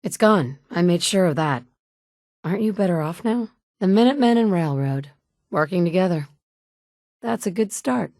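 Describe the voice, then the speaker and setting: A woman's voice: lowish voice, animated, normal speed, a little mellow, no echo, clean studio sound. A woman voicing a determined young mother who searches a ruined world for her kidnapped son, blunt and dryly sarcastic, recorded as video game dialogue. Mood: steady. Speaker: player character voice actress. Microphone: studio mic.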